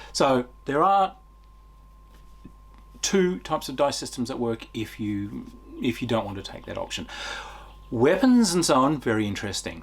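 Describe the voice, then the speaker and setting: A man giving an unscripted, enthusiastic game review in a conversational way, with emphatic stresses and a husky voice. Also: mid-range voice. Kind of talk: unscripted review talk to viewers.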